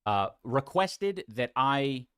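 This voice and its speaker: A man calmly explaining his side of a dispute in a clip. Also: mid-range voice, takes it slowly, smooth, dry recording, studio quality. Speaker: person in clip.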